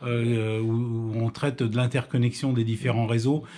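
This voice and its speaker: Man from France, medium pitch, informal technical explainer, amateur radio host, stumbling and self-repeating delivery. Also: level tone, normal speed, mellow.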